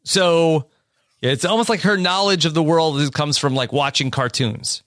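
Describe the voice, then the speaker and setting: Man, casual podcast co-host, hesitant, lots of fillers, bright tone, dry close sound. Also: on the high side, normal speed, no echo. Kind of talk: casual reality-show recap chat.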